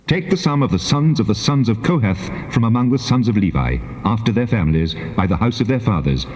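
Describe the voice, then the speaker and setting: A man plays a solemn, commanding deity, declaiming scripture in measured phrases. The low voice rises and falls expressively, ringing in a long, echoing reverb on a dull tape. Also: animated, a little mellow, close to an everyday voice. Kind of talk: dramatized King James Bible reading.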